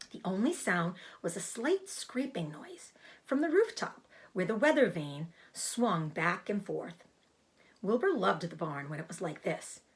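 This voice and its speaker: A woman reading a children's book aloud like a teacher, narrating expressively and clearly for young listeners. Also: on the low side. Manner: expressive, explains hard words. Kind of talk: storybook read-aloud narration.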